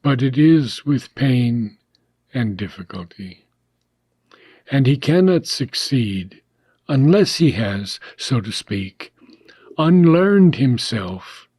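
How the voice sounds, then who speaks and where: A man reading a philosophical text aloud as an audiobook, in a deliberate, measured manner with short phrases and frequent pauses. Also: mid-range voice, darkish tone, breathy edge, dry recording. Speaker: audiobook reader.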